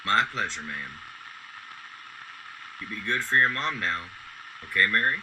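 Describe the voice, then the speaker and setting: Man playing a deep-voiced, gentle, courteous fellow. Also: lively intonation, unhurried. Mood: kindly. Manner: polite, folksy. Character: gentle, lonely, courteous man rediscovering joy. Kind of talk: old-time radio drama acting. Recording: noisy background.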